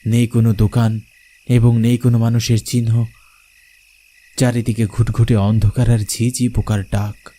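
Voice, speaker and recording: A man's voice: medium pitch, flat delivery, moderate pace, long pauses, mellow. A man narrating a scripted horror story in the first person, in a dramatic, suspenseful manner. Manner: suspenseful, theatrical.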